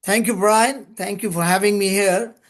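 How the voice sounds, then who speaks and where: An older man from Pakistan, an English speaker, telling long stories of his career in a relaxed way with small chuckles and restarted words. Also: sits high, moderate pace. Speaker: guest, lubrication consultant. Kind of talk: podcast interview storytelling.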